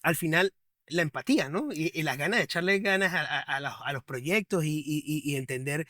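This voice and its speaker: Eager podcast host, higher man's voice. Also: a little fast, bright, forceful tone, dry recording, studio quality. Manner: eager, stumbling repetitions, rephrases answers. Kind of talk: podcast interview.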